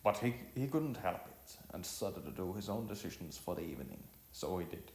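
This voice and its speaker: A man reading a story aloud as an amateur narrator, haltingly, often repeating and correcting his words. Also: sits low, very animated, darkish tone, echoey room.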